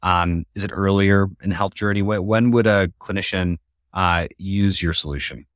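A man's voice: sits low, moderate pace, fairly bright tone, no room sound, clean studio sound. A man hosting an interview podcast, friendly and fumbling a little with restarts as he asks questions. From Colorado. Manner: friendly, searching for words with restarts. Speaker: podcast host.

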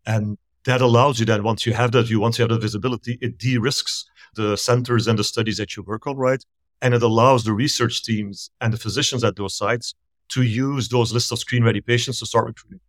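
A middle-aged man from Belgium, a startup founder explaining his business in a measured, thorough way during a remote podcast interview. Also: medium pitch, steady tone, dry recording. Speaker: guest, startup founder and CEO.

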